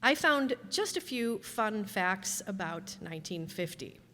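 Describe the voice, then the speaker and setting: A woman host introducing a live show to an audience in a hall. She chats at an easy, slow pace with friendly asides. Her voice rings out into the room's long reverb. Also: mid-range voice, expressive, pushed and bright. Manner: chatty, unhurried, friendly asides.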